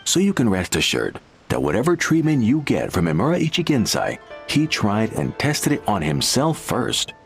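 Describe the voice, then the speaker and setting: A mid-pitched male voice with lively swings, a smug game client who leans in to share gossip and scorns rivals. Soft game music sits behind him. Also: moderate pace, gravelly, hardly any pauses. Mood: confiding. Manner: smug, confiding. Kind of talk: game voice acting.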